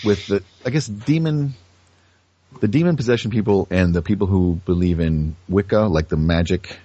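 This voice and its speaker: A man's voice: low-pitched, normal speed, mellow, dry recording. A man chatting casually on a podcast, feeling his way hesitantly through his thoughts. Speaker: podcast host as guest. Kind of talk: casual conversation. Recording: dull lo-fi audio.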